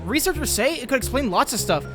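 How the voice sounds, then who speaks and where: A man's voice: very high-pitched, expressive, fast talker, long reverb tail, music in the background. An actor playing an anxious, brooding, science-obsessed teenage boy in a comic dub, earnest and prone to questioning himself. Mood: eager, earnest. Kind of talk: acted comic dub with inner monologue.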